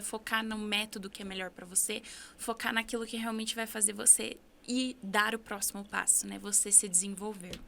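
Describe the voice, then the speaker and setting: A woman's voice: a little high, vocal fry, pushed and bright, slight background noise. A Brazilian woman, an English teacher, chatting as a podcast guest and giving upbeat, encouraging advice. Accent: Brazilian Portuguese. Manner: upbeat, encouraging, tag questions. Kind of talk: casual podcast conversation.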